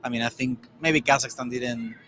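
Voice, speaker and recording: A man co-hosting a tennis podcast, talking through the day's results in a loose, rambling way. His voice sits at a middling pitch, and a faint ambient hum lingers behind him. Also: fairly even intonation, moderate pace, pushed and bright. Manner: rambling, many restarts and fillers. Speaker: tennis podcast co-host reporting on site. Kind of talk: casual conversational analysis.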